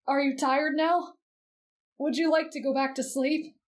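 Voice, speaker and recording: A woman voicing a gentle teenage boy who reads in a soft, measured, expressive storybook way for roleplay ASMR. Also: sits high, voice pushed up. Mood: gentle, caring.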